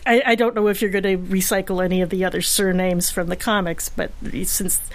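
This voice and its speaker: Woman cohost, normal mid pitch, just casually chatting in an interview. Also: steady tone, fairly quick. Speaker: interview co-host.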